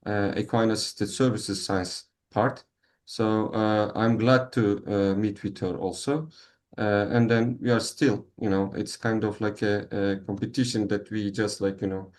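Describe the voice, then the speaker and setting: A man's voice: fairly low pitch, a little mellow. A man hosting an online meeting, speaking English as a with many fillers and restarts. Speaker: meeting host. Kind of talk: online meeting, casual moderating.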